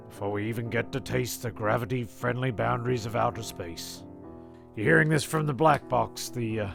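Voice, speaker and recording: A man playing an excitable narrator and crewman in an amateur audio comedy, with a gravelly voice. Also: medium pitch. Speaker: narrator and character.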